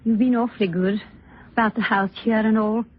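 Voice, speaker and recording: An actress playing a gentle, ailing middle-aged aunt in an old radio drama, soft-spoken and resigned. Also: female voice, fairly high pitch, steady tone, brisk, husky. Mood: gentle concern. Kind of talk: 1940s radio drama acting. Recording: muffled old radio recording.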